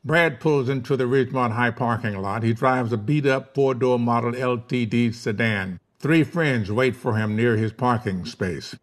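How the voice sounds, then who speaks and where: Guy reading out script stage directions at a table read, medium pitch narrator voice. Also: moderate pace, hardly any pauses.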